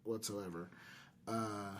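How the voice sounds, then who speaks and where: A man hosting a podcast, telling stories casually in a halting, self-deprecating way. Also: lowish voice, steady tone. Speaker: podcast host. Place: in-person podcast recording in Las Vegas.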